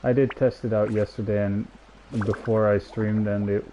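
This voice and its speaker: A man's voice: lowish voice, little pitch movement, normal speed, warm tone. A man chatting in a casual, rambling way as a game streamer, speaking into a headset mic. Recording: dull headset mic, faint noise.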